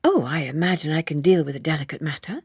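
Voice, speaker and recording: A woman's voice: low-pitched, animated, quick pace, slightly rough, no echo, clean studio sound, heavily acted. A woman voicing a young Edwardian secretary, eager yet self-possessed, polite and precise, in an audiobook. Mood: politely probing.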